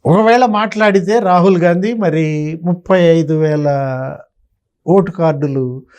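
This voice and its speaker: A man's voice: high-pitched, a little slow, a little mellow. A man giving unscripted political commentary, full of sarcastic, colloquial rhetorical questions. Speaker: political commentator, interview guest.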